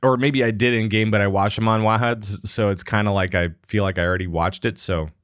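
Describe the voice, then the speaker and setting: A man's voice: fairly low pitch, steady tone, quick pace, a bit bright, clear, resonant tone, dry recording, clean studio sound. A man hosting a casual gaming podcast, talking in a rambling, enthusiastic way with many restarts. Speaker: podcast host.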